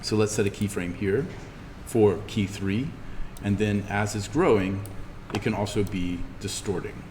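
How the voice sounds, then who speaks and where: Man, instructor, lowish voice, informal step-by-step software demonstration, noisy echoing classroom. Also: fairly even intonation, measured pace. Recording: reverberant room with background noise.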